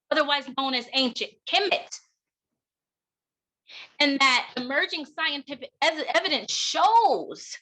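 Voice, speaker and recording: A middle-aged American woman delivering an impassioned keynote talk in an emphatic, deliberate way, with rhetorical pauses and repetition. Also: high voice, bright, forceful tone, rough recording. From United States. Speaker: keynote speaker and educator.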